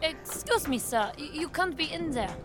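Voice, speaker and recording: A woman's voice: high-pitched, expressive, normal speed. An actress playing a polite but insistent hospital staffer in an audio drama, with music behind her.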